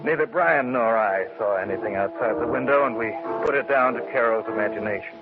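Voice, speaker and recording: A man's voice: medium pitch, fairly quick, over music, natural voice. An actor playing an earnest, uneasy man who narrates and acts in an old-time radio mystery. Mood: grave narration. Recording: muffled, very hissy vintage radio recording.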